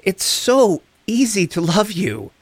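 Gentle higher-voiced male therapist played by an actor. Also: sing-song, no room sound, heavily acted. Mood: tender, encouraging. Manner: reassuring, deliberate, therapeutic phrasing. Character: gentle, encouraging male empath therapist and advocate. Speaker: actor in a fiction podcast. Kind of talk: scripted audio drama, counseling dialogue.

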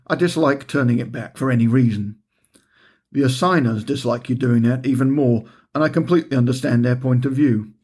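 Husky, wry middle-aged man reading. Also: medium pitch, darkish tone. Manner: dry, wry storytelling with understated humour. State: recovering from a heavy cold. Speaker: author reading his own book. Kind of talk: audiobook narration.

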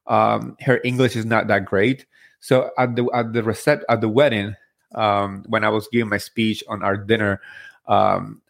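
A man's voice: lowish voice, creaky, a bit bright. Spanish-accented man, earnest solo chat. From Spain. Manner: halting, many fillers and restarts; earnest and heartfelt. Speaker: podcast host. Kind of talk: casual solo commentary.

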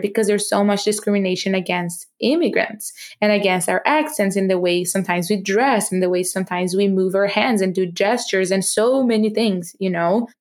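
A young woman speaks English with a noticeable foreign accent. She talks earnestly and with passion, often restarting her sentences and using fillers. Her pitch sits in the middle of the range. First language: not English. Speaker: podcast host. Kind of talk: personal talk about her project.

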